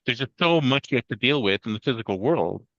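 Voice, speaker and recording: A man in his fifties hosting a podcast interview, agreeable and quick with short reactions. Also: mid-range voice, animated, fairly bright tone, no room sound, dull audio. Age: 50s. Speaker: podcast host. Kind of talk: casual interview. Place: at home in another country, on a video call.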